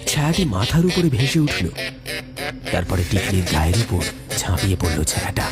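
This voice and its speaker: Male narrator for ghost story: lowish voice, very animated dramatic read, loud music underneath. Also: fairly quick.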